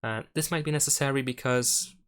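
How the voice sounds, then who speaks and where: A man's voice: mid-range voice, fairly quick, a bit bright, smooth. A man presenting a step-by-step phone tutorial in an instructional, explanatory way.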